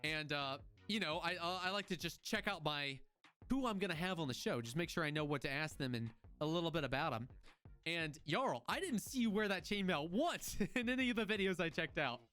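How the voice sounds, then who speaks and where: A man in his twenties hosts a casual podcast, joking and rambling as he restarts his sentences. His voice sits a little high. Faint music plays beneath. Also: animated, brisk, pushed and bright, clear, resonant tone. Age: 20s. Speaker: podcast host. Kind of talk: casual chat.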